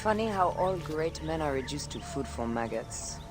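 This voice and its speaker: A woman's voice: sits low, expressive, normal speed. An actress playing a serious, determined woman warrior who speaks tersely and firmly. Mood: grim. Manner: terse, firm. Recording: game scene with loud music.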